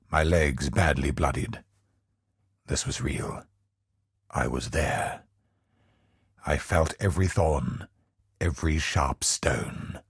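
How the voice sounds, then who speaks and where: Deep, husky, tormented scholar. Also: male voice, no room sound, clean studio sound, full character voice. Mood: anguished. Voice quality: husky, gravelly, breathy. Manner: solemn, theatrical, deliberate delivery. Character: tormented, godlike older male scholar, grieving father turned menacing. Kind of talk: dramatic scripted game monologue.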